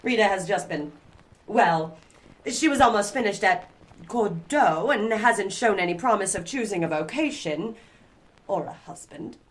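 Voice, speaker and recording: A woman's voice: medium pitch, expressive, unhurried, close to an everyday voice, rough recording. A woman playing a wealthy middle-aged society mother in an audio drama, formal, genteel and condescending. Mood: dismissive, embarrassed. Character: wealthy, haughty society mother, dismissive and proper.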